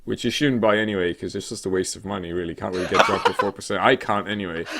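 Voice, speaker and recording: A man co-hosting a casual gaming talk show, rambling and halting, with an irreverent, sarcastic, sweary way of talking. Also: low voice, fairly even intonation, gravelly, pushed and bright. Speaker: co-host of a gaming news show. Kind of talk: casual chat about games news.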